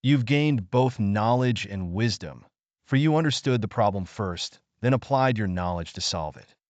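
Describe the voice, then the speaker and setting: Man voicing a gentle old sage, lively intonation. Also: lowish voice, moderate pace, dry recording, lightly acted. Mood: proud. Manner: soft-spoken, thoughtful, teacherly. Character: gentle wise old village man, patient and kindly.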